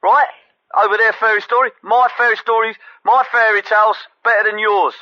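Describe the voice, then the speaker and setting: A man's voice: high-pitched, fairly even intonation, a little fast, no room sound. An actor playing a blunt, dismissive, argumentative male caller on a phone line. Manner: blunt, colloquial, dismissive, interrupting. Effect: telephone line.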